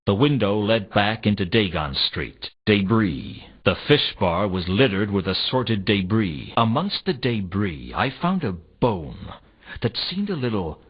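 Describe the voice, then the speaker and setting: An actor playing a cynical, world-weary hard-boiled detective, narrating a noir video game in a deadpan, wry, husky voice. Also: male voice, lowish voice, unhurried, full character voice. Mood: deadpan, brooding. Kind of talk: scripted first-person video game narration in film noir manner. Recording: dull lo-fi game audio.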